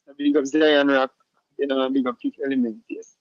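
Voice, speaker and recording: A man's voice: mid-range voice, flat delivery, fairly quick, no room sound. Easygoing Jamaican man, slightly muffled. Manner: easygoing, many fillers and restarts, patois shout-outs. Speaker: musician guest. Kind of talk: casual interview answers.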